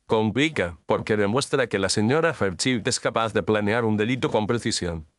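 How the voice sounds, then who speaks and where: Man playing a cool, low-voiced gentleman detective. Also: expressive, dry recording, natural voice. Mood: cool, analytical. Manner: formal, deliberate, coolly accusing. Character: cool, incisive, measured male gentleman detective. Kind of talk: dramatized audio story acting.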